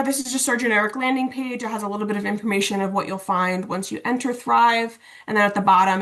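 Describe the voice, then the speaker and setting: A woman with a mid-range voice presents a software demonstration as an instructional designer. She explains each step informally and conversationally, as on a webinar video call. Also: mid-range voice.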